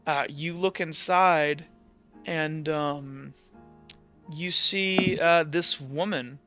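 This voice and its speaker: Bright, higher-voiced man as game master. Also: fairly even intonation, smooth, highs cut off, soft background music. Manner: halting, descriptive. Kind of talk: improvised tabletop roleplay narration.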